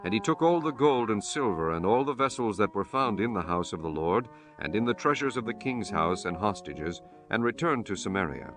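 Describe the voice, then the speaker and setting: A man reading scripture as an audiobook narrator in a solemn, measured, formal manner. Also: lowish voice, lively intonation, over music.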